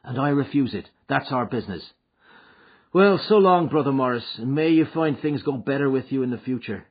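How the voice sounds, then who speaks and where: A storyteller plays a young gangster, bold and defiant. The voice jumps up and swings a lot, with a husky edge. He sounds cocky and hearty, as in an audiobook crime tale, on a slightly dull recording. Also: medium pitch, lively intonation, pitched above the performer's own voice. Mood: curt. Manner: cocky, quick to answer back. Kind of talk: audiobook character acting.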